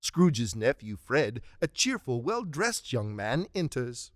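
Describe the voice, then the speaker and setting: Animated male storyteller, lively pitch. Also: takes it slowly, dry recording, studio quality. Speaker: narrator. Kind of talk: scripted storytelling narration for a radio drama.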